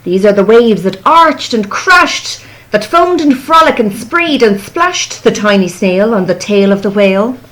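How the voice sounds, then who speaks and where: A woman reading a children's storybook expressively, with deliberate dramatic phrasing. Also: medium pitch, big pitch swings, a bit bright, poor audio. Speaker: volunteer storyteller.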